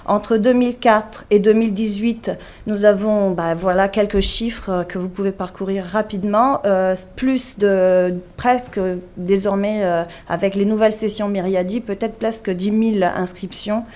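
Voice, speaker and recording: A woman gives an academic conference presentation in a medium-pitched voice. She explains things step by step as a university lecturer, often pausing to hesitate or correct herself. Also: fairly even intonation, darkish tone.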